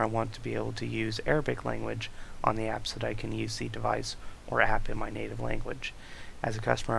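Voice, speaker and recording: Young male student, creaky flat voice, explaining code over screen capture on a hissy desk mic. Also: medium pitch. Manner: reads user stories aloud, explains code step by step with fillers. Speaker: student presenter. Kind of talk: screen-recorded project walkthrough.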